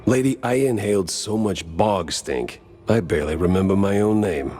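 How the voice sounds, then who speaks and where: An actor playing a world-weary mouse private eye in a noir video game, with an American accent and a terse, deadpan delivery. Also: male voice, on the low side, moderate pace, slightly rough, soft background music. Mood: groggy, wary. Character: world-weary, wry hardboiled male mouse private detective. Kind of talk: 1930s noir detective game acting.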